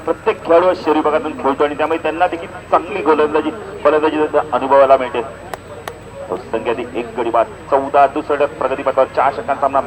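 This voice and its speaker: A man giving live play-by-play cricket commentary in an animated announcer's delivery, with punchy stock phrases. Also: fairly high pitch, rough recording. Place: outdoor cricket ground. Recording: outdoor event PA with crowd noise. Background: crowd and outdoor event noise.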